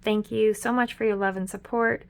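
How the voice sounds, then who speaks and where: Chatty middle-aged woman, slightly hoarse. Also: medium pitch, fairly even intonation, moderate pace. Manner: chatty and upbeat, with frequent ums and self-corrections. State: hoarse, losing her voice. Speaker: budgeting vlogger. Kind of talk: casual budget walkthrough.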